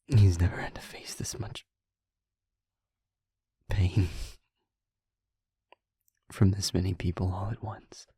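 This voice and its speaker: A man playing an exhausted, gentle protector who talks in a soft, breathy, slow whisper. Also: deep voice, flat delivery, dry recording, heavily acted. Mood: tired. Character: weary, gentle male protector, calm. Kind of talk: audio roleplay acting.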